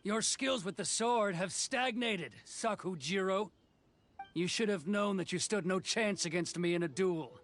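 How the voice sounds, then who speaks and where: A man's voice: high-pitched, measured pace, fairly bright tone, faint music behind. A man voicing a stern, proud swordsman officer in a video game, clipped and haughty in delivery. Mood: cold, haughty. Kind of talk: English video game voice acting.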